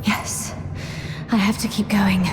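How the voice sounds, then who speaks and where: A woman's voice: medium pitch, little pitch movement, takes it slowly, lightly acted. An actress playing a determined young woman soldier in a game, terse and resolute. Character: determined young female soldier facing a trial. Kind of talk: dramatic game cutscene acting. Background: loud music.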